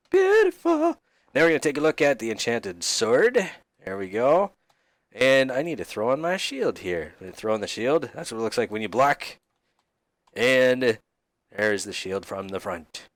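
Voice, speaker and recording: A playful, rambling man talks through his gameplay with jokes and asides, sounding a bit under the weather and sniffly. His voice sits at an ordinary middle pitch and is recorded dry and close, with no room sound around it. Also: very animated, a little slow, dry recording. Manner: goofy, rambling, jokey, affectionate toward viewers. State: slightly sick and sniffly. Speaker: YouTube host reviewing game resource packs. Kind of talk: casual gameplay commentary.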